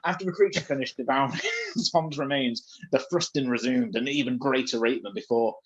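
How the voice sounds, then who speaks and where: A man's voice: mid-range voice, very animated, moderate pace. Dull-sounding man reading a story for laughs. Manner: breaks off for joking asides. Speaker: fan fiction reader on a comedy stream. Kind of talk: comedic read-aloud of a story.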